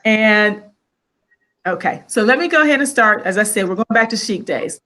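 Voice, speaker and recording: A woman's voice: mid-range voice, animated, moderate pace, pushed and bright. A woman hosting a live online interview, upbeat, greeting viewers and guiding the conversation. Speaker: host.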